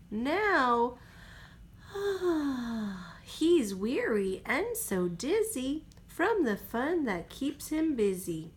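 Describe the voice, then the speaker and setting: A woman reads a bedtime storybook aloud at a slow, unhurried pace. Her pitch swings freely and expressively, as a mother reading to her young child does. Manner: expressive, drops to whispers for goodnights.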